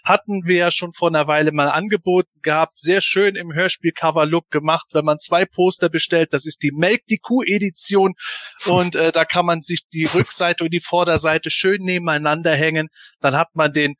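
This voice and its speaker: Higher-pitched man chatting on a podcast. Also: fairly even intonation, moderate pace, fairly bright tone, no room sound. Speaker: podcast panelist. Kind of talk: casual fan podcast chat.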